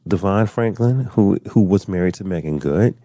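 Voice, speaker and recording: Laid-back low-voiced male podcast host. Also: lively intonation, moderate pace, mellow, no echo. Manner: laid-back, laughs often, repeats himself. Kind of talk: casual podcast chat.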